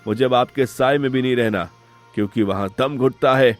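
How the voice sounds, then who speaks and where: A man's voice: medium pitch, fairly quick, soft background music, lightly acted. A man voicing a wronged but principled younger brother from a village in a melodramatic cartoon moral tale, speaking earnestly and sorrowfully. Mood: sad, resolute. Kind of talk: melodramatic Hindi moral-story cartoon acting.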